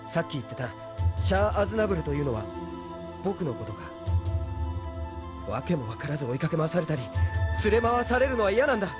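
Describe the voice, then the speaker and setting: Young male drama lead: earnest, stubborn, high expressive voice with loud music behind. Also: brisk, pauses often. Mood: defiant. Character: earnest, bewildered young man, soft-spoken but stubborn. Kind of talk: Japanese sci-fi anime audio drama acting.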